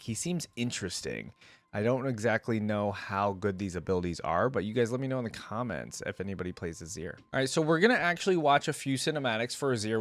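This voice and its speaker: A man's voice: on the low side, no room sound. A man giving casual, off-the-cuff reaction commentary as a host, musing aloud as he goes.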